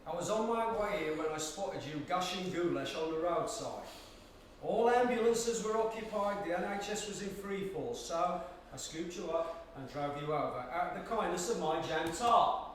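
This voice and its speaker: A man's voice: high voice, expressive, normal speed. A man acting an older, coaxing Cockney ex-soldier in a stage play, full of jokey slang and fatherly fussing. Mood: earnest, slangy. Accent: London Cockney. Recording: echoey room, distant mic, faint hiss.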